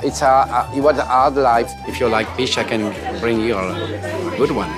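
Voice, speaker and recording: A man's voice: medium pitch, moderate pace. A man in his sixties from France with a French accent, chatting playfully on stage in broken English. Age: 60s. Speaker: performer, ventriloquist. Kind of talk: casual stage chat. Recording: loud crowd and music behind.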